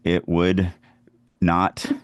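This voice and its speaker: A man with a deep voice talks casually as a podcast co-host and writer. The sound is dry, with almost no room in it. He speaks conversationally, as in a discussion of craft between colleagues. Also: monotone, very slow, no echo.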